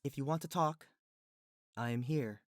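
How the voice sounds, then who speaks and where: A storyteller voicing a gentle, sleepy older-sister pony princess, soft, patient and muffled. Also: medium pitch, moderate pace, no room sound, clean studio sound, natural voice.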